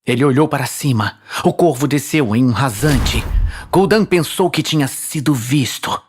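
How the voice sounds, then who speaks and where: A man's voice: mid-range voice, animated, moderate pace, slight background noise. A man with a Brazilian accent reading dramatic scripted fantasy narration as the storyteller.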